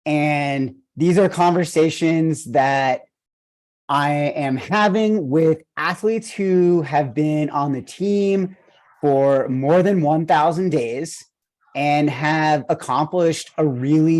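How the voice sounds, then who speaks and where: A man's voice: on the high side, slow, darkish tone. A man with an Argentinian accent, hosting a running coaching podcast and interviewing an athlete in an enthusiastic, rambling way with many fillers. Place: video call.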